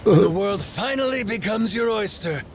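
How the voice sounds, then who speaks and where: A brash pirate captain from a video game, pitched a little high for a man. He is theatrical and playful, swinging widely in tone as he rallies his crew. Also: animated, moderate pace, a little hiss or hum. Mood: encouraging.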